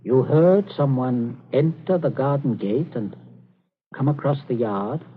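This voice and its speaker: A man's voice: medium pitch, a little slow, slight background noise, natural voice. An actor playing a stern, domineering middle-aged father, commanding and impatient, in a 1940s radio drama. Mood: stern, disgusted. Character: stern, domineering father coldly interrogating his daughter. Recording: tinny, band-limited 1940s radio broadcast.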